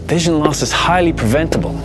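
A man's voice: a little high, fast, over loud music, voice pushed up, close to an everyday voice. A man reading a scripted commercial voiceover as a professional voice actor. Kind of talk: scripted advertising voiceover narration.

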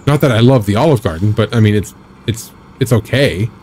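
A man in his thirties chatting casually while live-streaming a driving game, rambling with a slightly raspy, scratchy throat. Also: lowish voice, lively intonation, a little fast, a little mellow. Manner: rambling, chatty, reads and answers chat.

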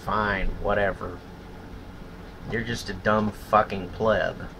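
A man's voice: fairly low pitch, little pitch movement, slow, noisy background. A man delivering a blunt, profane, contemptuous opinion rant as a solo vlogger.

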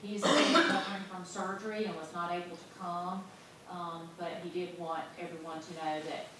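A woman's voice: fairly low pitch, steady tone, moderate pace. A woman on a planning commission asking questions and making a motion in a conversational, slightly hesitant way. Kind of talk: questions and motions at a public meeting. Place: large council chamber. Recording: echoey hall, mic far off, background noise.

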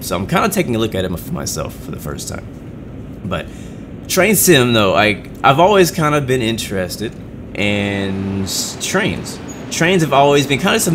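A man rambling casually while playing a game, with lots of fillers and restarts. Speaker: gaming channel host. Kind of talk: casual gameplay commentary. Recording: game audio rumble behind.

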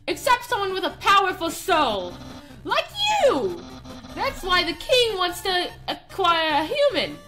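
A woman's voice: extremely high pitch, very animated, measured pace, over music, exaggerated voice. A woman voicing a boastful, friendly and naive male skeleton from a game, announcing things theatrically. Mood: earnest announcing.

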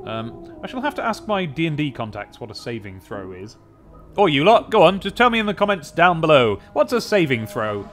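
A man's voice: on the high side, sing-song, fairly quick. A man in his thirties giving casual, rambling, playfully whimsical gaming commentary and reading game text aloud. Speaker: gaming video host. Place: small home office with desks and monitors. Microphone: boom-arm microphone with pop filter. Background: game music.

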